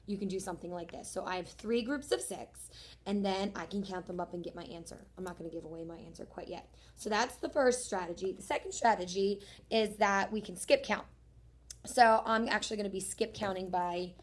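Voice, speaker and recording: Young teacher lady losing her voice, kinda hoarse, friendly, walks thru each step for her class. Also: medium pitch. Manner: friendly, encouraging, talks through each step. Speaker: third grade teacher. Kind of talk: homework explanation video for students.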